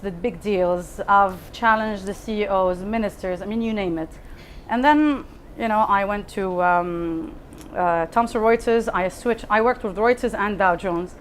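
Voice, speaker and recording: A woman shares personal stories as a panel guest. She is candid and chatty, with a mid-range voice. There is faint background noise from a live event. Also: medium pitch. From Lebanon. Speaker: panel guest, fintech founder. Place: live talk event before an audience.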